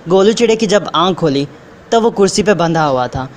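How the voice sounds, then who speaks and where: A man's voice: high voice, expressive, normal speed. Male storyteller, faint background noise. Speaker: narrator. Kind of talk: scripted children's story narration.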